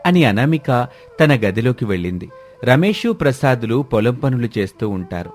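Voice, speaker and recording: A man's voice: mid-range voice, very animated, moderate pace, a little mellow, faint music behind. A man reading a scripted story as its narrator.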